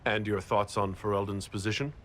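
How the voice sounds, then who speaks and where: An actor playing a calm, polite male military leader in a video game, courteous with a dry manner. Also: fairly low pitch, fairly quick, slight background noise, close to an everyday voice. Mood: polite, composed. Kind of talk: video game cutscene acting.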